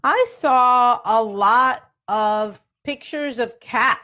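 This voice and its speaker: A woman's voice: on the high side, extremely slow, sharp tone. A woman hosting a radio interview show.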